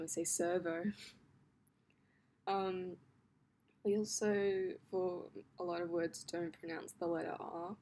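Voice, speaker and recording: Young aussie woman rambling about stuff, kinda low voice, lots of um and like, faint hum behind. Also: mellow. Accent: Australian. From Australia. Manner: chatty, rambling with many ums and likes, laughs often. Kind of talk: casual talk to camera about her accent.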